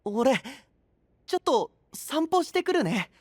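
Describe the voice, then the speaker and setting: 海の国を守る若い青年を演じる男性声優。柔らかく内省的で、真摯な話し方。. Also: male voice, very high-pitched, very animated, slow pace, no room sound, heavily acted. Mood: breezy. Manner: soft, introspective, earnest. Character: gentle young male prince of a sea kingdom. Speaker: voice actor. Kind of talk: anime voice drama.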